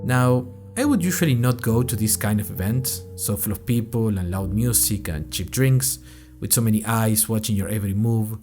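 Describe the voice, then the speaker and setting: A man's voice: on the low side, a little mellow, natural voice. A man playing an anxious young college freshman who narrates his podcast in a wry, rambling, self-conscious monologue. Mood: nervous, resigned. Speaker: narrator and host of a fiction podcast. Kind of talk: scripted first-person audio drama monologue.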